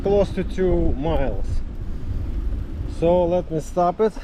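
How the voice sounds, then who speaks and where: Halting man, slow and noisy recording. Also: high voice. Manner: halting, choppy phrasing. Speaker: product reviewer. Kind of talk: casual hands-on product demo. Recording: noisy, vehicle and ambient background noise.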